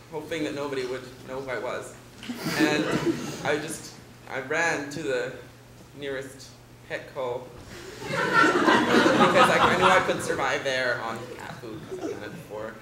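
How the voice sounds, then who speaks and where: A young man rambles through an absurd improvised tale, fighting back laughter. His pitch swings lively and sits a bit high. Loud background noise fills the echoey room. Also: measured pace. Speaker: improv player. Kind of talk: improvised comic storytelling.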